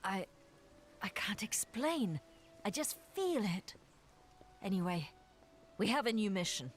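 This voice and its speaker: An actress playing an earnest fantasy adventurer haunted by visions in a video game, speaking with some hesitation. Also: female voice, medium pitch, big pitch swings, takes it slowly, slight background noise. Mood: unsure, resolved. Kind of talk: video game cutscene acting.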